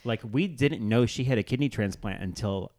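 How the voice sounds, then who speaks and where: A man's voice: mid-range voice, normal speed, darkish tone. A man hosting a podcast in a casual, comedic conversational style. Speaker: podcast host.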